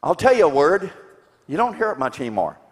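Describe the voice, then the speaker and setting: A man in his sixties preaching a sermon to a congregation with emphatic delivery, rhetorical questions and deliberate pauses for stress. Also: on the high side, big pitch swings. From grew up in Tanzania. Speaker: guest preacher. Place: large church sanctuary, indoors. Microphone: handheld microphone. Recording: echoey church hall sound.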